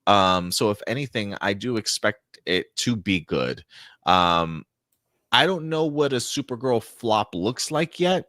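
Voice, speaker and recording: Bright, forceful man hosting a discussion. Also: on the low side, expressive, unhurried, dry recording, studio quality. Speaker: host of a live entertainment news discussion show. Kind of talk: casual fan discussion and commentary.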